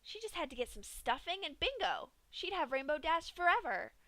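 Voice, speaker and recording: Bright-voiced woman reading a story. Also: high-pitched, very animated, normal speed, dry recording. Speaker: fanfic reader. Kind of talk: reading a horror story aloud.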